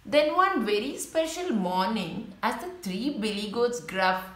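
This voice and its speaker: A woman's voice: medium pitch, animated, unhurried, reverberant. A woman reading a picture book aloud to young children, telling the story and pointing out the pictures as she goes. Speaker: children's story reader and channel host.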